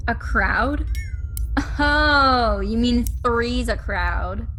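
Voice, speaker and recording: A woman's voice: on the high side, lively intonation, extremely slow, sharp tone, slight background noise, exaggerated voice. A woman voicing a cheeky, trigger-happy computer program in an audio drama, wry and playful. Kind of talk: scripted audio drama acting.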